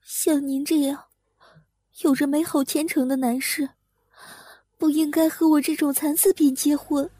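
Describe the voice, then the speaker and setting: A woman's voice: high voice, flat delivery, unhurried, pauses often, mellow, roomy sound. A woman playing a timid, haunted young bride, hesitant and introspective, narrating an audio drama. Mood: apologetic, hesitant. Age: young adult. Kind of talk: Mandarin audio drama acting with first-person narration.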